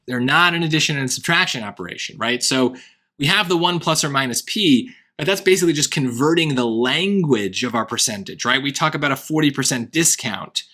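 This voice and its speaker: A man tutoring SAT math, thinking aloud through a worked problem with wry asides, his voice going hoarse. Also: on the high side, sharp tone. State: voice getting hoarse. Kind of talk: casual worked-example lesson.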